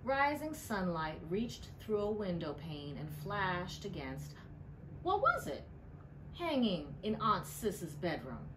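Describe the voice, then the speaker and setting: A middle-aged woman, a librarian, reads a picture book aloud with deliberate storytelling emphasis. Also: mid-range voice, very animated. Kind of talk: expressive storybook read-aloud. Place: indoor library room. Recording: clearly noisy room ambience.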